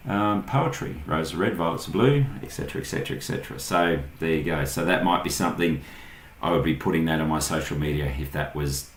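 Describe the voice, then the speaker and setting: A man's voice: deep voice, a little hiss or hum. An Australian man giving a casual, unscripted screen-share tutorial, thinking aloud in short pieces as he goes. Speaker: online tutorial host. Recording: roomy, echoing voice recording.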